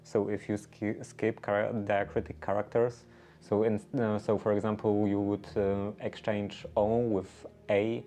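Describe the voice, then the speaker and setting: Slow, flat-voiced man. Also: on the low side. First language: Polish. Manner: hesitant, searching for words. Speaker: livestream host. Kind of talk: casual livestream chat while knitting.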